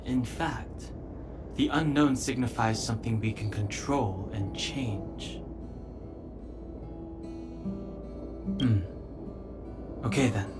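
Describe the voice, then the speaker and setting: A man voicing a calm, reserved, serious young space traveller in a video game cutscene, measured and detached. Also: mid-range voice, over music, lightly acted. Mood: serious, reflective. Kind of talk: animated game cutscene dialogue.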